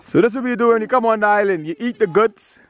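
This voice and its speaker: A man's voice: high voice, quick pace. A man with a Bahamian accent chatting casually to his viewers as he vlogs outdoors, holding the camera himself. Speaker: vlogger. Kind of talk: casual vlog chat to viewers. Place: outdoors on an island beach and roadside. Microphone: handheld camera at arm's length. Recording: faint outdoor noise.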